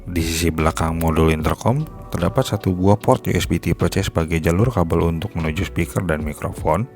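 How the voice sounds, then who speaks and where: A man's voice: low-pitched, a little fast, a little mellow. A man narrating a scripted gadget review as a product reviewer. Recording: music behind the voice. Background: music.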